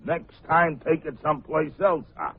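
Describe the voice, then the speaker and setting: Surly, husky crooked barber, vintage radio. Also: male voice, fairly high pitch, moderate pace. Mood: irritated. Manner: gruff, curt, grumbling. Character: surly fat crooked barber, evasive neighborhood gang boss. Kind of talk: vintage radio crime drama acting. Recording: muffled, tinny vintage radio recording.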